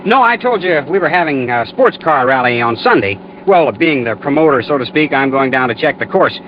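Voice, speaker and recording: A man's voice: medium pitch, animated, fairly quick, natural voice. An American man playing an evasive, boastful car dealer in classic radio drama, chummy and talky with hesitant phrasing. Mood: chummy, eager. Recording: muffled, noisy vintage radio recording.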